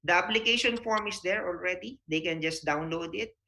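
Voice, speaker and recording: A Filipino-accented man speaks as an official guest on a talk show. His voice is bright and sharp, a little high, and his delivery is polite and halting. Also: creaky. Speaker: consular official, interview guest. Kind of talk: public appeal in an interview.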